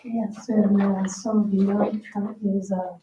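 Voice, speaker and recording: Female staff presenter, halting, soft-edged mid-range voice, reverberant room with faint noise. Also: medium pitch, flat delivery. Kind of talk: informal request presentation at a public meeting. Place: town council meeting room. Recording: echoey room, distant mic, dull, noisy.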